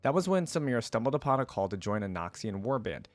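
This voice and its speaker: A man's voice: medium pitch, big pitch swings, fast, no echo, soft background music. A man reading a story aloud and commenting on it casually, as a reaction video creator. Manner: casual, conversational asides.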